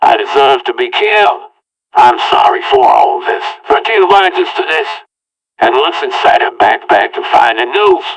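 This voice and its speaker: A man's voice: medium pitch, very animated, unhurried, a bit bright. A man telling a homemade audio-log story in the first person, with an earnest, rambling delivery. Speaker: narrator. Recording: voice far from the mic.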